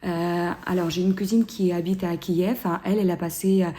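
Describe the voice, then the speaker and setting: A woman in her thirties with a Ukrainian accent, answering interview questions haltingly, with many fillers and restarts. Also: fairly low pitch, steady tone, dark tone.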